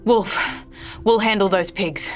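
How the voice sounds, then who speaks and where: A woman playing a tough, decisive heroine in a fantasy table read, brisk and commanding. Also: medium pitch, soft background music, natural voice. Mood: urgent, commanding.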